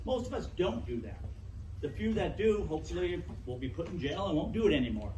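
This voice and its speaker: A middle-aged man giving a loud, projecting talk to a club audience in a meeting room. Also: high-pitched, very animated, brisk, a little hiss or hum. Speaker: guest speaker. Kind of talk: public lecture to a club. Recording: echoey room, distant mic.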